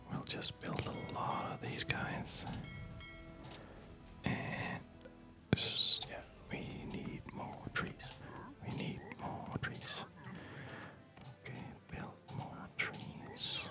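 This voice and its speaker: Gamer for ASMR playthrough: breathy whisper throughout, very slow, self-murmured commentary. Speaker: gamer narrating own play.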